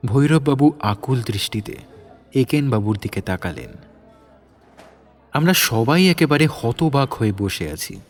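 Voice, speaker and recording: A Bengali man narrates an audio story in a medium-pitched voice. His scripted delivery is lively in intonation, with faint music behind it. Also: measured pace, long pauses.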